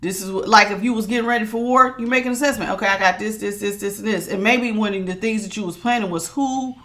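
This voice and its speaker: A woman with a bright, forceful, projecting voice talks straight to her viewers as she reads tarot cards. Her delivery is emphatic and authoritative, and she doubles down on her points by repeating phrases. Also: medium pitch, quick pace. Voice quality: strong, projecting voice. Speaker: tarot reader. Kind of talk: casual tarot reading to viewers.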